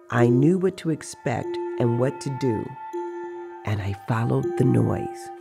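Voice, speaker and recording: A narrator reads a dramatized story at an unhurried pace, pausing deliberately between phrases. The voice is mid-pitched with a noticeable gravelly creak. Music plays clearly underneath.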